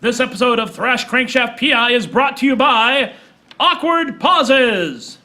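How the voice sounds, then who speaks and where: Male actor, melodramatic radio-serial announcer, forceful and sharp, unusually high pitch, bombastic comic delivery. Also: animated, soft background music, heavily acted. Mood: grand, dramatic. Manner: dramatic pauses, projected. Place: small theater stage, live audience.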